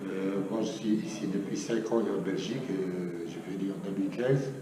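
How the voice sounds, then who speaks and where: A man's voice: deep voice, steady tone, normal speed. Hesitant man in an echoey, noisy space. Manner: hesitant, frequent searching for words. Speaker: interviewee, undocumented migrant. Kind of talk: halting personal account. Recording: distant, echoey hall with clear noise.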